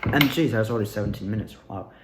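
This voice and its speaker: A young man talking casually and loosely, recapping his experiences in an animated, rambling storytelling manner. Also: lowish voice, racing, background noise. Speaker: amateur student actor reviewing own performances. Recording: roomy, echoey indoor sound.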